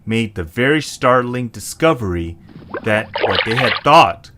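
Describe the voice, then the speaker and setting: A man's voice: mid-range voice, lively intonation, unhurried, heavy background noise. A man narrating a scripted story.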